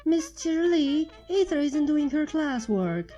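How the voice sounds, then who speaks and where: A woman voicing a sneering schoolgirl filly who tattles in a drawn-out way, in a storybook reading. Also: sits high, animated, warm tone, faint music behind, pitched above the performer's own voice. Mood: smug, tattling.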